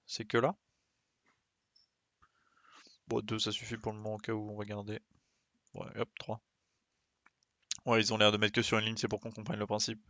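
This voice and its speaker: Male game streamer, lowish pitch, dry sound, casual muttered running commentary. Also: completely flat delivery, a little fast, long pauses, sharp tone, no echo. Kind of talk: casual live gameplay commentary.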